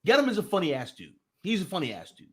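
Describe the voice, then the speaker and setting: A man's voice: high voice, wildly swooping pitch, fast talker, a bit bright. A young man from New Jersey with a Jersey accent, hosting a casual live sports talk stream. He talks loosely and profanely, restarting sentences and greeting his chat.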